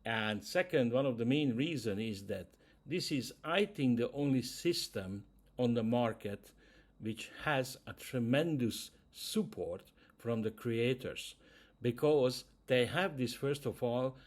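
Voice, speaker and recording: A man from Hungary with a Hungarian accent, speaking English as a second language, talking casually to camera as host, with frequent hesitations. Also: mid-range voice. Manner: hesitant, many "uh" fillers, non-native grammar. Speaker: YouTube channel host.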